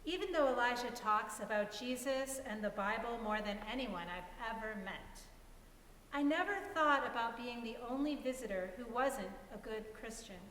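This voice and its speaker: A young boy read by a woman narrates a storybook in measured, earnest phrases. The voice is slightly high. It rings in an echoey hall over faint noise. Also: lively intonation, a bit bright. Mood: earnest narrating. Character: young boy recounting his friendship with an old carver. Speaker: reader in a dramatic story reading. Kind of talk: dramatic storybook reading.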